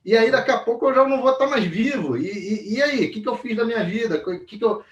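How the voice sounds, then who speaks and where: A man's voice: extremely high pitch, lively intonation, fast talker, lo-fi sound. A man in his forties with a Brazilian accent co-hosts a comics review in casual, colloquial chat. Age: 40s. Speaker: co-host of a comics review channel. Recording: roomy echo, distant mic.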